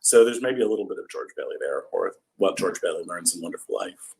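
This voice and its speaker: A man's voice: low-pitched, expressive, fast, gravelly, a little mellow. A man chatting casually as an interview guest, thinking aloud in a tentative, loosely hedged way. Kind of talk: casual chat.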